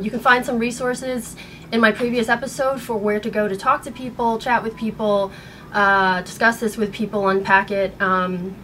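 An American woman in her thirties speaking in an earnest, halting way, upset and shaken, as in a personal vlog. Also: medium pitch, a bit bright, low-quality recording. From United States. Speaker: vlogger. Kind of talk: personal video blog, partly reading aloud. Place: small bedroom at home. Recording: noticeable background room noise.

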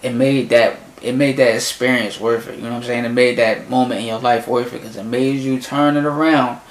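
A young man in his twenties gives animated, slangy commentary as he reacts to a rap video from his bedroom. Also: medium pitch, brisk. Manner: animated, slangy, talks back to the song. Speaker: music reaction youtuber. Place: small bedroom in front of a closet door. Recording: echoey room.

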